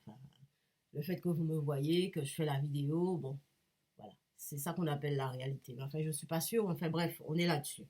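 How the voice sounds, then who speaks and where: A woman with a cold talks straight to her viewers in a low, husky voice. She rambles and hesitates, breaking off and restarting as she hunts for the right words. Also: warm tone. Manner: hesitant, many restarts and fillers, searching for words. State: has a cold. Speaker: painter and vlogger. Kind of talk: rambling casual talk to camera.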